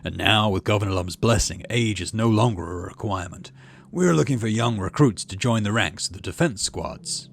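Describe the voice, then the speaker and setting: A man's voice: lowish voice, animated, fairly quick, creaky. A man voicing a grave, firm male security chief addressing recruits in a sci-fi visual novel. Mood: solemn. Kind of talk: voiced visual novel dialogue.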